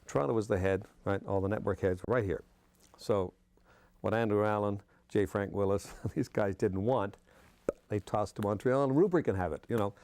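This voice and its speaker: A man's voice: fairly low pitch, normal speed, a little mellow, dry recording. An older man, a veteran radio actor, reminiscing casually in an interview in a blunt, colloquial way. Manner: blunt, colloquial, punctuated with "you know".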